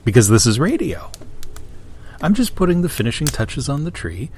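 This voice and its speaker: A man's voice: fairly high pitch, sing-song, normal speed, slight background noise. A man hosting a radio theater show, giving a jokey, playful scripted introduction.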